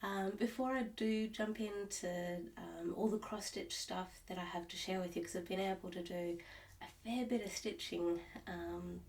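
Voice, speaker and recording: A woman's voice: mid-range voice, fairly even intonation, normal speed, darkish tone, far from the mic, poor audio. An Australian woman vlogging about cross-stitch in a casual, rambling way, sounding nasal and stuffed up while recovering from illness, talking at home. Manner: rambling, with many restarts and fillers. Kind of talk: casual chat to camera. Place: at home, indoors.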